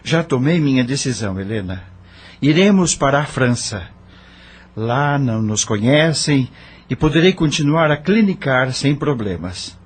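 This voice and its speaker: A man's voice: mid-range voice, expressive, measured pace, slightly rough. A man playing an earnest, grieving doctor and family man in an audio novel. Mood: firm, reassuring. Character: earnest grieving widowed doctor, loving husband and father. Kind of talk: audio novel dramatic acting.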